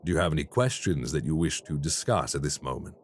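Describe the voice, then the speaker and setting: A calm, formal crime boss in an audio roleplay. His voice sits very low and he keeps every word polite and measured, all business. The recording is clean. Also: slight background noise. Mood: courteous.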